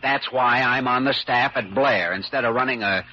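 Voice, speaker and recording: A man's voice: medium pitch, normal speed, sharp tone. An American man playing an earnest, determined and idealistic young doctor in a classic radio drama, with urgent, clipped delivery. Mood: earnest, insistent. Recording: dull, noisy old radio recording.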